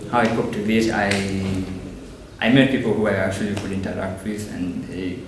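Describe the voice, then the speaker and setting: A man of twenty-four with a Kenyan accent, a student giving halting interview answers. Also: on the low side, steady tone. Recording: very echoey room, distant mic, noisy.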